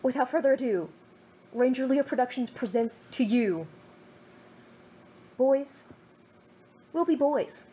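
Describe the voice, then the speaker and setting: A woman's voice: high-pitched, slow pace, pauses often, mellow, a little hiss or hum. Jokey young woman reading fan fiction. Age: 20s. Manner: self-mocking, jokey, over-the-top. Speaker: streamer reading her own fan fiction. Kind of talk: casual chat then dramatic story reading.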